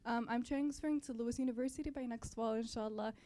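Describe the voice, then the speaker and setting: Young woman, Palestinian-born, native Arabic speaker, slightly high voice. Student club speaker addressing an event, a little hesitant. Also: steady tone, fast talker, mellow. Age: young adult. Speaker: student club board member giving introduction. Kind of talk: welcome speech to an audience. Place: college library event.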